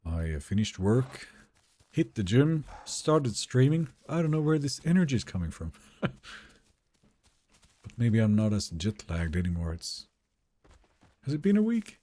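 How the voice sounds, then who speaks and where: A man with a Swedish accent, live-streaming a game and chatting with viewers in a relaxed, talkative way. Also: mid-range voice, a little slow, long pauses. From Sweden. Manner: relaxed, chatty, answers chat questions.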